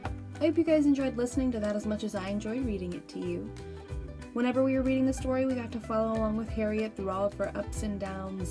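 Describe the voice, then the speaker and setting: A woman reading a picture book aloud for children, narrating and speaking to her young listeners directly. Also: medium pitch. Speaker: storybook reader.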